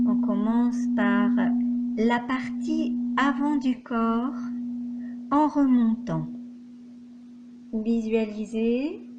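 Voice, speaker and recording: A woman guides a meditation at an extremely slow pace, leaving space between short, measured phrases. Her voice sits a little high. The recording is dull, with loud noise behind her. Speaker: yoga nidra instructor.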